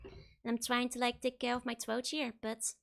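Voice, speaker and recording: A young woman streaming a game, hoarse, giggling and rambling playfully as she chats. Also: fairly high pitch, monotone, normal speed. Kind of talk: casual game stream chat.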